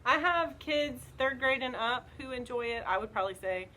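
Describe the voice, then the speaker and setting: A woman's voice: a little high, expressive, normal speed, low-quality recording. A woman casually recommending books, conversational and loosely phrased with small hedges, recorded outdoors. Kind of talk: casual book recommendation chat. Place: outdoors in the wind. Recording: loud wind noise on outdoor home video.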